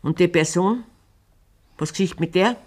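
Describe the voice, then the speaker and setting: An actress with a Bavarian accent playing a wronged, defiant middle-aged farmer's wife with sharp, biting retorts in a radio play. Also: female voice, on the low side, moderate pace, close to an everyday voice. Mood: bitter.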